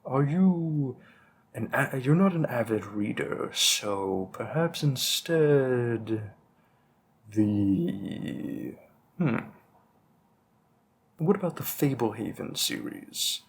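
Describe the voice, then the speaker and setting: A man playing a long-lived, old-fashioned bookstore keeper in a roleplay audio drama, polite, rambling and gently formal as he addresses the listener. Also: mid-range voice, expressive, extremely slow, pauses often, a little hiss or hum. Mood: bookish, helpful.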